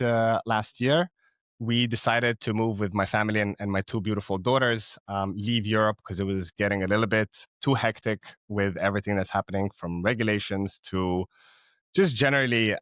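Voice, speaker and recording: A man's voice: fairly low pitch, fairly bright tone, clean tone, no room sound, studio quality. A man in his thirties from Cyprus, a podcast guest talking casually with frequent restarts and fillers. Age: 30s. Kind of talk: casual interview chat.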